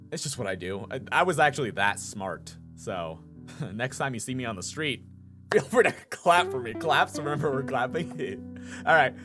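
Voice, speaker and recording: A man chats casually as a YouTuber doing a game playthrough. His pitch moves around a lot as he jokes at his own expense, with music in the background. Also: pushed and bright. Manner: joking, self-mocking. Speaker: YouTuber, voice actor playing a game.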